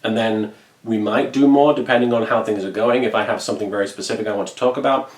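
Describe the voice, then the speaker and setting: A man's voice: fairly low pitch, fairly even intonation, quick pace. A man speaking earnestly and conversationally, giving a casual update to supporters as a web video creator. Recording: echoey room.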